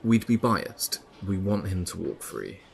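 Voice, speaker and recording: Actor as blunt male investigator in audio play; deep register, vocal fry, matter-of-fact and firm. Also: very animated, measured pace, slight background noise, exaggerated voice. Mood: firm, resigned. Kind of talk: audio drama acting.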